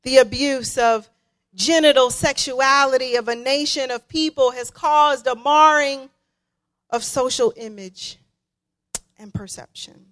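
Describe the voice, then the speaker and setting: A woman delivers a sermon in a high, bright voice. She takes her time, repeating phrases deliberately so that they land with emphasis. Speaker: guest preacher.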